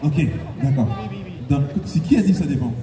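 A man's voice: medium pitch, lively intonation, normal speed, over music. A man hosting a concert on stage, bantering playfully with the audience, laughing often and urging everyone on. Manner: playful, teasing, laughs often, urges the crowd on. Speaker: singer and concert host. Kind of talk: stage banter with a convention crowd. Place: large indoor convention hall stage. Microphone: handheld stage mic through PA, filmed from audience. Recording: echoey hall PA from far off, loud crowd. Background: cheering crowd.

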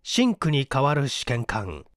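A man's voice: mid-range voice, huge pitch swings, a little slow, no echo, clean studio sound. A man reading a mystery story as dramatic scripted narration. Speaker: audiobook reader, stage actor.

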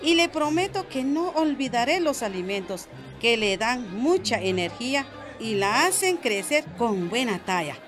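A folksy Guatemalan grandmother in a radio soap. Her voice is fairly high and swings expressively as she chats and frets, with music behind her. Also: very animated, measured pace. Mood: cheerful. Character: chatty, cautious village grandmother, mother-in-law. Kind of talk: radio soap opera acting.

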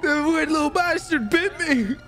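A man's voice: extremely high pitch, animated, normal speed. A man improvising comic game roleplay in a theatrical, sweary, exclamatory way. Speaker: streamer playing a roleplay character.

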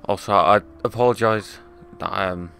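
A young man talks through a video game in a chatty, wry way, and he swears now and then. His voice is very low, gravelly and hoarse. Faint game music plays beneath him. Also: fairly even intonation, normal speed, a bit bright. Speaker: gaming YouTuber. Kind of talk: casual let's play commentary.

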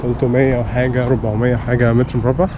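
A man's voice: medium pitch, level tone, fast, muffled recording. A man with a Cairo Egyptian accent giving casual, unscripted walking-tour commentary as a vlog host, mixing English words into his Arabic. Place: outdoors walking along residential villa streets. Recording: noisy outdoor street with passing vehicles.

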